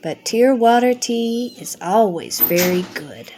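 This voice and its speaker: A woman's voice: a little high, expressive, takes it slowly, mellow, a little hiss or hum. A woman voicing an earnest, sentimental, slightly silly male owl in a children's storybook, with plaintive, simple phrasing. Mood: satisfied. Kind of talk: children's storybook character reading.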